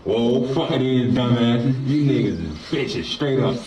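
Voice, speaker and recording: A man's voice: mid-range voice, animated, measured pace, noisy background. A man talking straight to his camera in a brash, slang-heavy style with a lot of swearing. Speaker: vlogger. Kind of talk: casual video selfie talk. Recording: roomy and distant.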